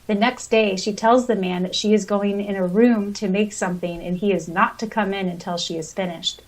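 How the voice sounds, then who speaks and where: A woman's voice: mid-range voice, poor audio. A woman co-hosting a podcast, chatting casually and retelling stories in an informal way. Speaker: podcast co-host.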